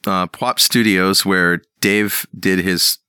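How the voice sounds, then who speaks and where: A man's voice: low-pitched, steady tone, sharp tone, smooth, no room sound, clean studio sound. A man hosting a podcast, reading a listener email aloud and chatting with guests. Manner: reads listener emails aloud, quick interjections. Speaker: podcast host. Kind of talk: casual podcast chat.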